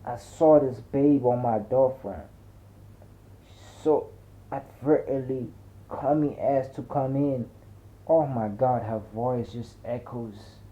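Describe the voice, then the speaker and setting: A man's voice: mid-range voice, dark tone. A man narrating a dramatic first-person story in a colloquial, slangy way. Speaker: audiobook narrator. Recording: muffled, narrow phone-like sound.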